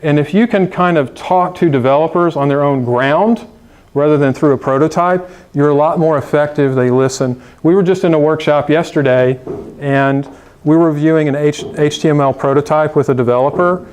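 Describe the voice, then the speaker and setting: A man's voice: fairly high pitch, normal speed, darkish tone, clean tone. A man giving a conference talk on design to an audience, emphatic and urging, with some fillers. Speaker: conference speaker. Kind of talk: conference presentation. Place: conference room.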